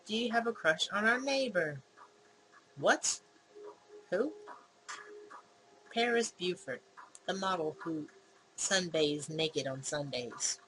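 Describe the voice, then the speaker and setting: A woman's voice: fairly low pitch, lively intonation, takes it slowly, pauses often, background noise. A woman hosting a gaming video, reading visual novel dialogue aloud in a casual, acted-out way with asides. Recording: music behind the voice. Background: game music.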